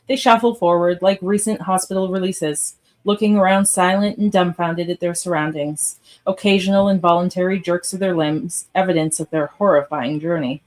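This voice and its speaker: A woman's voice: on the low side, a little mellow. A woman reading stage directions as the narrator of a live radio theatre script read.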